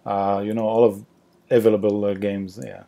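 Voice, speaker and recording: French-accented man, halting speech. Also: lowish voice, little pitch movement, creaky, warm tone. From France. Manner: hesitant, many fillers and restarts. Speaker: game designer guest. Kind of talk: podcast interview.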